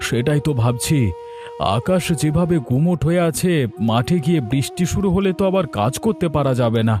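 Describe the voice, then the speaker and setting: Man playing poor cartoon farm worker, fairly high male voice, humble, resigned, gentle, faint music behind. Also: lively intonation, fairly quick, natural voice. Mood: matter-of-fact. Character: poor farm labourer father, humble, hard-pressed, gentle-tempered. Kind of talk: cartoon story dialogue.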